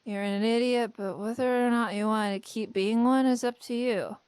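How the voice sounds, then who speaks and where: A woman's voice: fairly high pitch, steady tone, normal speed, darkish tone, clean tone, natural voice. A woman voicing a brash, scornful young woman in a visual novel, speaking bluntly and dismissively.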